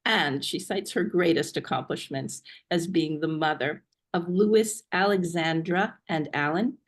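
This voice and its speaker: A woman hosting a video webinar, introducing a guest with frequent ums as she reads a bio. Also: lowish voice. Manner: hesitant, many ums while reading a bio. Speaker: webinar host. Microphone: video call.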